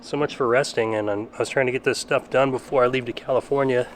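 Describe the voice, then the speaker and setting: Late-thirties man, everyday mid-pitched voice, chatty home vlogger, slight background hiss. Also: fairly even intonation, fast talker. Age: 30s. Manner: rambling, chatty, self-deprecating. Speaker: car channel vlogger. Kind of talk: casual vlog. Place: backyard and garage at home.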